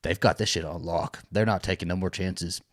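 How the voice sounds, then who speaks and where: A man's voice: low-pitched, fairly even intonation, fairly quick, a bit bright. A man co-hosting a casual recap show, talking in an informal, slangy, joking way. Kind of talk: casual chat recapping a TV episode.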